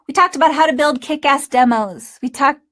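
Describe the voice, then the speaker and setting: Female coach host, slightly high voice, casual instructional talk with informal animated emphasis. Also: a little fast.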